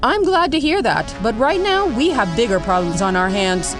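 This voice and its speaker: A woman's voice: a little high, lively intonation, moderate pace, music in the background, natural voice. A woman playing an authoritative general who briefs a hero team in an audio drama. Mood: urgent. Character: brisk commanding general of a hero task force.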